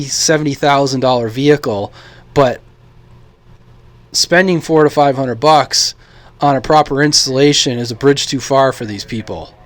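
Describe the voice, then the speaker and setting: An American man giving a casual, opinionated vlog monologue in a blunt, informal, rambling way, as a radio hobbyist. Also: medium pitch. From United States.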